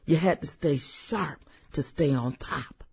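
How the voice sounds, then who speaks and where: Sassy, slow-drawling middle-aged woman, played by an actress. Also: low-pitched, vocal fry, a little mellow, breathy edge, heavily acted. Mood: gleeful nostalgia. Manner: sassy, drawling exclamations and hums, girlfriend-to-girlfriend banter. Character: brash, fun-loving middle-aged woman, a nostalgic former popular girl. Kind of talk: satirical radio drama acting.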